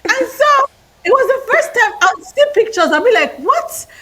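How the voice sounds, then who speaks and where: Bright high-voiced Nigerian woman. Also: normal speed. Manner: animated, laughs often, restarts phrases. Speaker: podcast guest. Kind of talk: casual interview storytelling.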